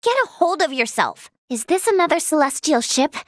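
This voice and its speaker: A woman's voice: high voice, lively intonation, moderate pace, no echo, clean studio sound. A woman playing an earnest, caring young female healer and researcher in a video game, with a hesitant, exclamatory way of talking. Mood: thoughtful, matter-of-fact. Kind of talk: English video game voice acting.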